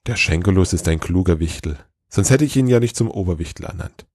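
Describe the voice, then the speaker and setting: Storybook old Santa, male performer: weary, kindly, ponderous, low pitch, close dry sound. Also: expressive, a little fast, darkish tone, slightly rough, no room sound.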